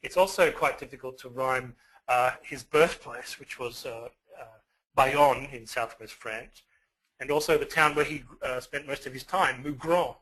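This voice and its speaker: An Australian man talks through an online lecture with a bright, slightly husky voice. He fills his pauses with many "uh"s as he goes. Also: medium pitch, low-quality recording. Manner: hesitant, many fillers. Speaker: guest lecturer.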